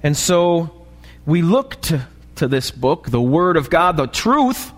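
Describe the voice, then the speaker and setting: A male pastor with an American accent and a slightly raspy voice, preaching a sermon and often checking in with short questions to the congregation. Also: on the high side, very animated, takes it slowly. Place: church, preaching live. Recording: live church recording, long hall reverb.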